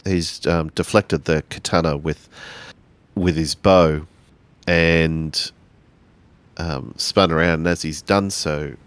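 A man with a very low voice narrates his part in a tabletop role-playing game. He speaks slowly and in measured, halting pieces with pauses between them. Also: fairly even intonation, smooth, dry recording.

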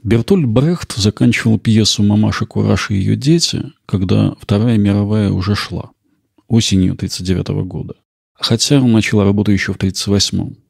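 A man hosting a podcast with measured, explanatory scripted documentary narration. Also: on the low side, gravelly, a little mellow, husky, no room sound, studio quality. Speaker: podcast host.

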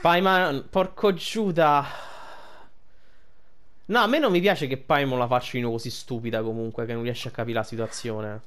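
A man's voice: medium pitch, moderate pace, a bit bright, a little hiss or hum. A young adult man giving casual, colloquial, emotionally invested game commentary, with some swearing. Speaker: game streamer.

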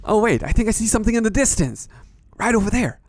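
A man playing an excitable, naive young adventurer, stammering and talking to himself as he goes through an amateur adventure story. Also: high voice, fast, no room sound, clean studio sound, full character voice. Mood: curious, wary. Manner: talks to himself, stammering, breathlessly reactive.

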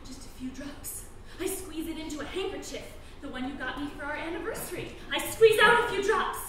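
A woman's voice: high-pitched, animated, brisk. An actress playing an intense wife in a drama, goading her husband with insistent, theatrical, melodramatic urgency. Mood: insistent, pressing. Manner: insistent, melodramatic, swooping into shouts. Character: intense, goading wife pushing her husband through a cruel imaginary game. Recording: roomy, distant, faint noise.

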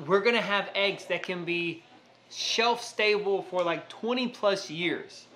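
A man's voice: high-pitched, takes it slowly, a crowd in the background. A man in his thirties, a homestead vlogger, chatting casually and joking while he works in his home kitchen. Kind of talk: casual chat.

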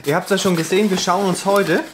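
A woman's voice: on the low side, fairly even intonation, quick pace, background noise. A woman hosting a tech channel, casually reviewing a product at home, rambling and chatty with lots of fillers and tag questions. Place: indoors at home, moving between rooms.

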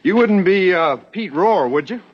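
A young actor playing a polite, smooth-talking stranger in an Old West TV drama, on a muffled vintage soundtrack. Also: male voice, high-pitched, animated, measured pace, soft background music. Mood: polite, coy. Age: young adult.

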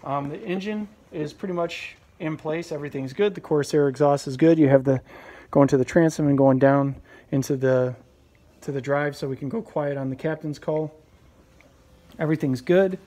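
A man in his thirties giving a relaxed, rambling walkaround of a boat project in a large workshop garage, filmed on a handheld phone, with many fillers and restarts. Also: fairly high pitch, normal speed. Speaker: channel host. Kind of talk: casual walkaround vlog. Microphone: handheld phone camera close up.

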